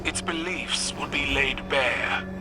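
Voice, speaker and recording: An actor playing a proud alien commander in a video game, formal, measured and sure. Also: male voice, medium pitch, loud music behind the voice, natural voice. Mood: imperious. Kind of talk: scripted video game dialogue.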